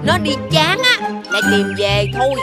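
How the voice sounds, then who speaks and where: A woman playing a scolding, sharp-tongued village aunt in a fairy-tale cartoon, nagging and sarcastic. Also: high-pitched, sing-song, moderate pace. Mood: grumbling, exasperated. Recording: loud music behind the voice.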